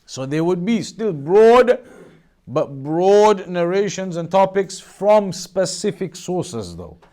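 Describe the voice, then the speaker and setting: A man giving an Islamic studies lesson as a teacher, explaining in a measured way and rephrasing key points. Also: high-pitched, very animated, a little mellow. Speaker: religious teacher.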